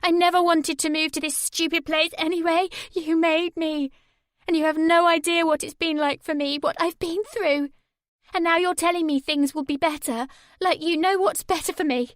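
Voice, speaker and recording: A woman voicing a desperate pregnant teenage girl who cries and shouts. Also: high voice, level tone, measured pace, hardly any pauses, dry recording, clean studio sound, voice pushed up. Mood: furious. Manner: shouting, tearful.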